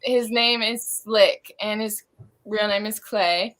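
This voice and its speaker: A woman's voice: mid-range voice, fairly even intonation, takes it slowly, fairly bright tone. A woman speaking informally as an interviewed stage actor, offering advice in a reflective, casual way.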